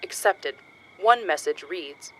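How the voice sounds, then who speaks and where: Impassive, lowish automated message system. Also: female voice, slow pace, poor audio. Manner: flat, clipped, procedural delivery. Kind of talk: audio drama acting.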